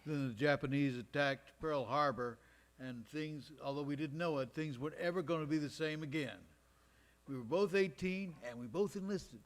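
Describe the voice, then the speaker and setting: Male actor as WWII veteran, slightly high voice, folksy and wry, unhurried live-stage storytelling. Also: natural voice. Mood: reminiscing. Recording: live stage recording in recreation hall.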